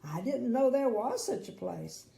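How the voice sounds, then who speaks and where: A woman voicing a friendly, grinning man in a storybook, a little higher and louder than her narration. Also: expressive, unhurried, gravelly, roomy sound. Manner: friendly, upbeat.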